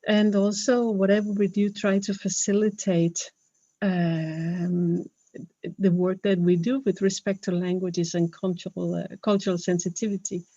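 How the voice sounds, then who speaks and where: A woman's voice: mid-range voice, level tone, measured pace, mellow, no echo, lo-fi sound. A woman from Denmark with a Danish accent, speaking English as a second language in a webinar talk, earnest and hesitant, with many fillers and restarts. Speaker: webinar speaker, health professional.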